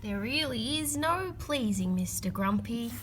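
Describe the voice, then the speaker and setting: A woman reading a children's picture book aloud, with expressive emphasis and drawn-out key words. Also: a little high, faint music behind. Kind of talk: read-aloud storytelling for children.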